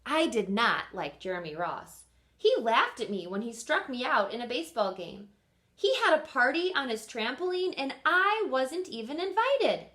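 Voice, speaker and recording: A woman teacher and host doing an expressive storybook read-aloud for young children, often pausing to put questions to her listeners. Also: high-pitched.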